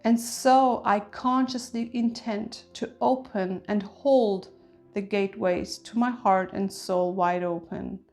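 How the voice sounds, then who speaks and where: A woman leading a guided meditation in an ASMR style, speaking gently and soothingly with deliberate phrasing. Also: medium pitch. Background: soft music with chimes.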